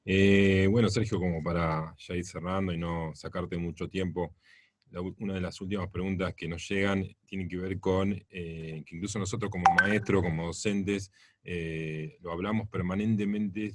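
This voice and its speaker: A man's voice: deep voice, steady tone, moderate pace. A man with an Argentine accent interviewing a guest on radio, asking loose questions with fillers. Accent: Argentine Spanish.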